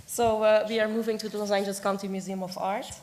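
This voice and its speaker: Woman presenting at a conference, losing her voice, pretty even tone. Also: medium pitch, quick pace, a little hiss or hum. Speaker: conference presenter, objects conservator.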